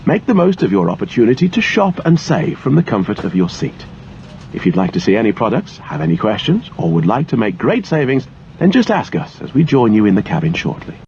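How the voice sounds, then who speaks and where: Muffled male cabin announcer, lively intonation. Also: medium pitch, brisk. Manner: formal, clearly instructional. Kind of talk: scripted cabin safety announcement, read aloud. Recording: muffled lo-fi audio with engine-like cabin noise.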